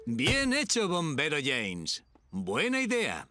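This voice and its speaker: A man's voice: fairly high pitch, huge pitch swings, takes it slowly, exaggerated voice. A man playing a friendly, capable, helpful heroic fireman in a children's cartoon dub. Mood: friendly, praising. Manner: encouraging, helpful. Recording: loud music behind the voice.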